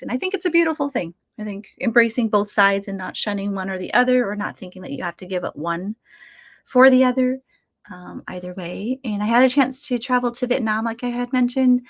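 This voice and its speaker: A woman's voice: medium pitch, fairly bright tone, dry recording, clean studio sound. A Vietnamese American woman speaking as the guest artist in a webinar, talking reflectively with many fillers and restarts, heard over a video call. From United States. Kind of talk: casual webinar talk.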